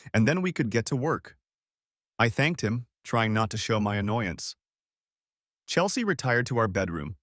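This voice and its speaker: Lively male storyteller, dry close sound. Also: fairly low pitch, dry recording. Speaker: narrator. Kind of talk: first-person story narration.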